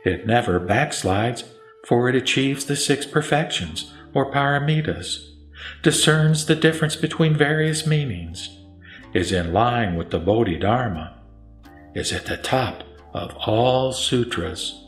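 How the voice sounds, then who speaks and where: A man narrates scripture in a measured, solemn way at a medium pitch. The recording is roomy and echoing, with music running underneath his voice. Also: animated. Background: background music.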